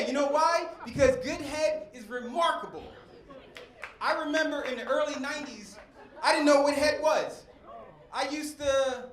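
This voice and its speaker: A middle-aged man from West Philadelphia doing stand-up, working the crowd in a preacher-like cadence. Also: very high-pitched. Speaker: stand-up comedian. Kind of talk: stand-up set. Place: comedy club stage. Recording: echoey club with faint crowd noise.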